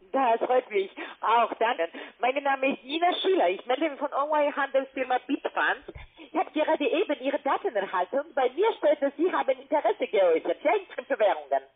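A woman in giving a telephone sales pitch, often tagging her statements with little questions. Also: sing-song, moderate pace. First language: not German. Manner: ends statements with questioning tags. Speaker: telemarketing caller. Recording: muffled narrow phone line.

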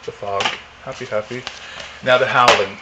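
A man's voice: medium pitch, steady tone, moderate pace, very noisy. Rambling man in his forties. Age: 40s. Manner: rambling, many fillers and restarts. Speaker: vlogger. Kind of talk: casual unboxing chat. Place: home living room, indoors.

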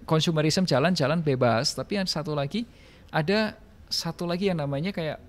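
A man's voice: fairly high pitch, normal speed. A man in his thirties with an Indonesian accent, explaining things emphatically in a casual podcast interview, often with rhetorical questions. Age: 30s. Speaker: podcast guest, financial planning business owner. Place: small office room with bookshelves. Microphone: close podcast microphone on a stand.

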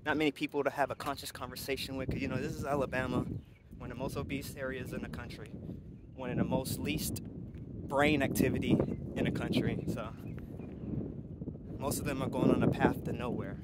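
A man's voice: mid-range voice, little pitch movement, a little fast, pauses often, very noisy. A young man walking and talking outdoors, boastful and blunt as he motivates his viewers, recorded on an earphone mic with the camera at arm's length. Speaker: vlogger. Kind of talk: casual walk-and-talk vlog. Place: outdoors on a sunny street by a college campus. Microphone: earphone mic, camera held at arm's length.

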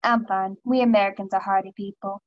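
A woman's voice: mid-range voice, steady tone, fairly quick, no room sound, lightly acted. A young woman playing a plucky, rueful American woman in a radio play, on slightly muffled audio.

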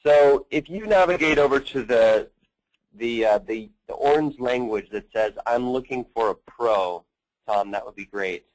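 A man presents a product walkthrough as a company representative on a conference call. His pitch is average, and he often pauses partway through a phrase. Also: a little mellow, low-quality recording.

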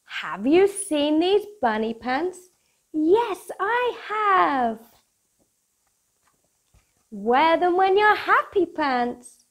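A British woman in her thirties presenting for young children in a playful, expressive, sing-song manner, as if hosting a picture-book club. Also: sits high. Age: 30s. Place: small room at home, indoors. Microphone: lapel mic.

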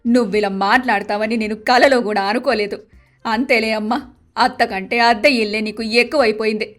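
A woman as loud, stubborn middle-aged mother-in-law. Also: on the high side, fairly even intonation, brisk, faint music behind, natural voice. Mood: tearful, hurt. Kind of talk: animated moral story voice acting.

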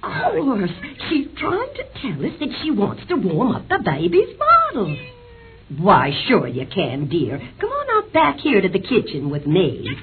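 A woman's voice: a little high, huge pitch swings, measured pace. An actress playing a kindly, hospitable rural American farm wife in an old radio drama, folksy and motherly. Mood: neighborly. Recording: noisy, tinny old radio recording.

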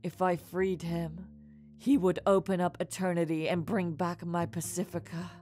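A woman's voice: lowish voice, little pitch movement, normal speed, darkish tone, natural voice. A woman voicing a remorseful mad scientist mother in a dramatic comic dub, speaking formally and theatrically. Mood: grave, explaining. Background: faint music.

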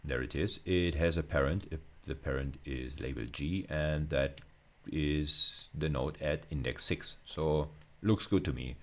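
A middle-aged man with an extremely low voice and plenty of gravelly fry. He lectures informally, walking through material one step at a time, repeating and rewording phrases as he thinks out loud. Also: measured pace. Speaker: university lecturer. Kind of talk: informal screencast lecture, explaining code step by step.

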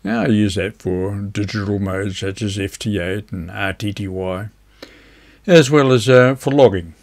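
A man speaks in a fairly low voice. He presents practical advice informally, in a measured, explanatory way, as if talking straight to the viewer. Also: a little mellow. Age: older, around 70s. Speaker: amateur radio operator, video presenter. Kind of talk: informal instructional talk to camera. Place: indoors, seated before a virtual beach backdrop.

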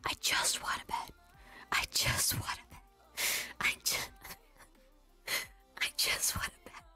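A woman's voice: takes it slowly, pushed and bright, soft background music. A woman streaming a game, whispering ASMR-style while dramatically complaining about her suffering. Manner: dramatically complaining, self-pitying. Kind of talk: live gameplay stream commentary.

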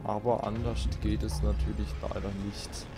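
A man's voice: on the low side, level tone, normal speed. A man giving casual let's play commentary, muttering and grumbling to himself. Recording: loud game music and effects behind. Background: video game music and sound effects.

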